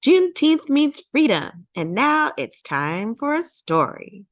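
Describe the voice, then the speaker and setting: A woman's voice: high voice, sing-song, takes it slowly, dry recording, clean studio sound, voice pushed up, exaggerated voice. A woman voicing an elderly, hopeful great-grandmother telling her story in a children's storybook, with emphatic, lyrical phrasing. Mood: joyful, inviting. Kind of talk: children's storybook character reading.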